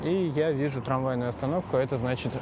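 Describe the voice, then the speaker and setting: A man talks while he cycles on a city street, stopping often to hesitate and ramble. His voice sits in a middle pitch, and loud traffic noise runs behind him the whole time. It sounds like a portable recorder carried outdoors. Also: fast. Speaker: podcast host. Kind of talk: casual monologue while cycling outdoors.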